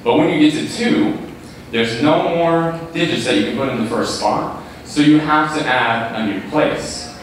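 A man's voice: on the high side, measured pace. Very echoey young American man presenting. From Kentucky, United States. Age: teens, high school student. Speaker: student presenter. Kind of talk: group presentation to an audience. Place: large auditorium stage, far camera. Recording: echoey hall, far-off mic, heavy noise.

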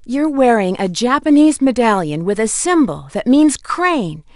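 A woman's voice: a little high, very animated, measured pace, darkish tone, no room sound, clean studio sound. An actress playing an earnest, inquisitive teenage girl detective in a video game, with a direct manner. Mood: probing. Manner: direct, questioning. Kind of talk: scripted game dialogue.

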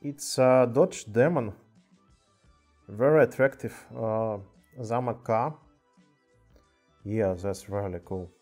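A man with a Russian accent casually chatting on a live stream in halting English, with many fillers. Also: mid-range voice, darkish tone, soft background music. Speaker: streamer showing toy car collection. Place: indoor room with cluttered table. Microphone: webcam mic.

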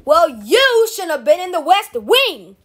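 A woman's voice: very high-pitched, big pitch swings, unhurried, fairly bright tone, exaggerated voice. A woman voicing a short-tempered, insecure beast in an amateur comedy dub, stammering and slangy. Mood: defensive. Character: short-tempered, insecure male beast master in cartoon parody. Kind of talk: amateur comedic voiceover dub of an animated film.

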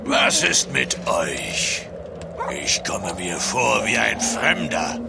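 An actor playing a menacing old vampire patriarch in an audio drama, imperious and querulous. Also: male voice, lowish voice, wildly swooping pitch, slow pace, vocal fry, loud music behind the voice, full character voice. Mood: sinister, hushed. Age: older. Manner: imperious, querulous, swinging between whisper and bark.

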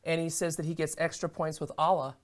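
A middle-aged American woman on a studio talk show, telling her testimony as an animated storyteller who acts out the dialogue. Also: deep voice, fairly quick. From United States. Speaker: guest minister. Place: television studio set.

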